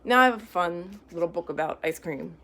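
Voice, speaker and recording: A woman's voice: on the low side, animated, fairly quick, fairly bright tone. Woman reading children's stories aloud. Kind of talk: read-aloud storytelling for young children.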